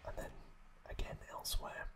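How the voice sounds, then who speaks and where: A man whispering softly as an ASMR host, recapping episodes in a halting way with many pauses. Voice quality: soft unvoiced whisper.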